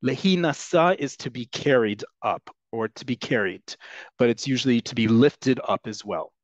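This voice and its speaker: A man leads a Hebrew lesson over a video call, explaining earnestly and filling pauses with ums. His voice sits at an ordinary pitch. The call audio is dull and close, with no room sound. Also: dry recording. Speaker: Hebrew teacher. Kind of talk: online class lecture.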